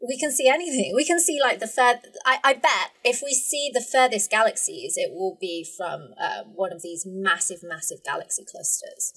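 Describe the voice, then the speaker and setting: Slightly husky woman in casual science chat. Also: mid-range voice, normal speed, hardly any pauses, a bit bright, poor audio. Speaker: astronomer guest.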